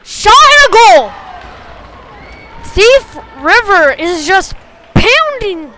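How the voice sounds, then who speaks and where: Woman calling hockey live, high bright voice, short choppy calls, gets excitable, bit of background noise. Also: drawn-out words. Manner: excitable on big plays, clipped short phrases. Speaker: play-by-play hockey commentator. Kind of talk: live sports commentary.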